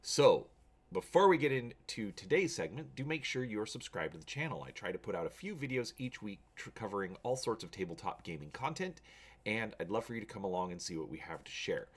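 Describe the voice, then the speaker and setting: A man's voice: lowish voice, big pitch swings, fairly quick, slightly rough. A man hosting a channel, talking casually to viewers and reading an adventure story aloud with drama.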